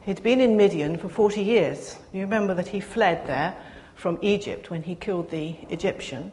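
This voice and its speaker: An older woman giving a soft-spoken, reflective personal sermon to her congregation, pausing often as she speaks. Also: mid-range voice, slight background noise. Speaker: preacher.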